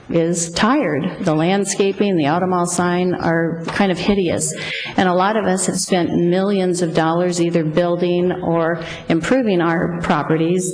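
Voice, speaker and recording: A woman's voice: lowish voice, darkish tone. A middle-aged woman giving public comment at a council meeting, earnest, plainspoken and effusively grateful, at a podium microphone. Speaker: public speaker for local car dealers. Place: council chamber at a speaker podium. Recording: echoey hall with faint hiss.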